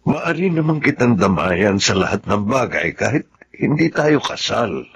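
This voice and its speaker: Gravelly, hesitant humble man. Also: fairly low pitch, very animated, a little slow, a bit bright, heavily acted. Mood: hesitant, worried. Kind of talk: Tagalog radio drama acting. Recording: slightly noisy radio drama audio.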